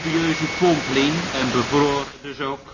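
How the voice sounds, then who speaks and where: An actor playing a hapless, deferential younger employee with a clipped, understated, plaintive manner in old radio comedy. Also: male voice, fairly high pitch, steady tone, moderate pace, close to an everyday voice. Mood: sheepish. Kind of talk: 1970s Dutch radio comedy acting. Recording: muffled, noisy old radio recording.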